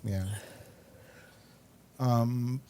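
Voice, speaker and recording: Mellow-voiced male host, noisy recording. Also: mid-range voice, steady tone, extremely slow. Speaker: show host. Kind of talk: casual chat interview. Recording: noticeable background noise.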